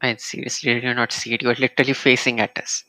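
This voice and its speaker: A man's voice: mid-range voice, flat delivery, fast talker, background noise. A man with an Indian accent giving casual, playful, reactive live commentary as he streams a game.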